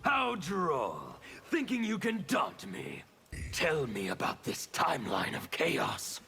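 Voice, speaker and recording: An actor playing a fanatical, bitter anarchist warlord in a video game, with a gravelly voice and a theatrical, sneering delivery. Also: male voice, fairly high pitch, wildly swooping pitch, slow, pushed and bright, exaggerated voice. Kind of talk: video game villain dialogue.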